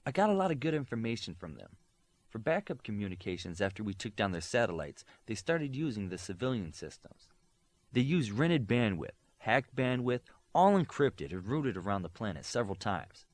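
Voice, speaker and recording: A busy, methodical female tech analyst, acted by a man narrating an audiobook, gives businesslike detail. The voice is raised from a low male register and lively in pitch. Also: moderate pace, no room sound. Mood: focused, harried.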